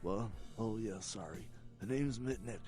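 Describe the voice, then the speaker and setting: A smug vampire hacker from a video game, acted by a man, talks in a fairly low voice. He is sarcastic and distracted, often mumbling to himself. Music plays clearly behind him. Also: measured pace, warm tone, lightly acted. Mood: casual, wary.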